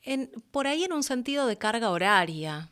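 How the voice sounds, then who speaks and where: A woman with a Rioplatense Argentine accent telling stories casually as an interview guest, expressive and colloquial. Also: fairly high pitch, normal speed. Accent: Rioplatense Argentine Spanish. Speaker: voice actress and announcer. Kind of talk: casual conversational storytelling.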